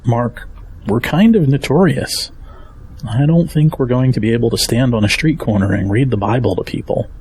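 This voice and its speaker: An actor playing a steady, protective middle-aged father in an audio drama. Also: mid-range voice, normal speed, husky, close to an everyday voice. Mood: cautious.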